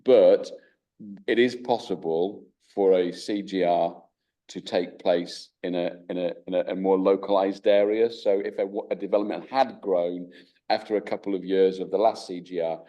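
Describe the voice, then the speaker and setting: A man chairing a council committee meeting speaks in a very low, mellow voice, set a little back from the microphone. He talks haltingly, looping through restarts and fillers as he sums things up. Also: steady tone, recorded from a distance.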